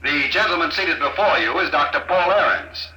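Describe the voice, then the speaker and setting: An actor playing a calm, mocking male villain who speaks over an intercom in a 1950s radio drama. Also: a little high, soft background music. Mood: cold. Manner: smooth, unhurried, mocking. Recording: echoey intercom on old radio broadcast.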